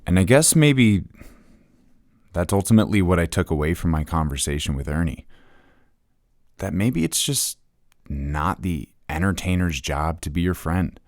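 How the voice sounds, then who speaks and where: A man's voice: sits low, big pitch swings, normal speed, pauses often, a little mellow, dry recording, clean studio sound. An actor playing a reflective rock podcast interviewer, an admiring fan signing off his show. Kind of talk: acted podcast host monologue.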